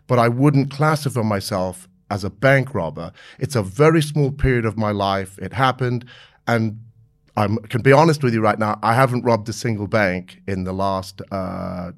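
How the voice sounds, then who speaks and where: A man's voice: fairly low pitch, moderate pace. A man around sixty, born in South Africa, talking openly as an interview guest, laughing easily and restarting his sentences. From born in South Africa, raised in the United Kingdom. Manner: friendly and open, laughs easily, with frequent fillers and restarts. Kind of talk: candid conversational interview.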